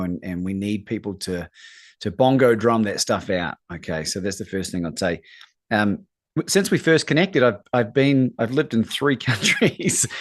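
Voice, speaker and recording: A man with a New Zealand accent and a fairly low voice speaks as a coach being interviewed. His delivery is earnest and emphatic, in the style of motivational talk. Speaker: guest, courage coach.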